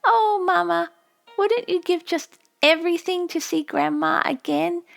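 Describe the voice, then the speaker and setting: A woman's voice: extremely high pitch, measured pace, gravelly, soft background music, voice pushed up, heavily acted. A woman voicing a wistful, homesick young girl in an audiobook, earnest in manner. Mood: wistful longing. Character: wistful young American-raised Japanese girl, bravely homesick. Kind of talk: audiobook character voice.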